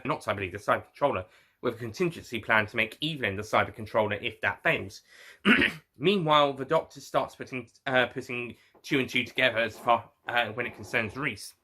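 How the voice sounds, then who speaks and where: Bright-voiced man giving a halting informal review. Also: mid-range voice. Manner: halting, rambling, often self-correcting. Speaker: reviewer and host. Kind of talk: informal spoken review to viewers.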